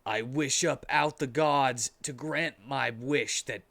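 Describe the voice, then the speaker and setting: A man voicing a dark, brooding male cartoon hedgehog in a subdued, solemn manner. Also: mid-range voice, takes it slowly, creaky, no echo, full character voice.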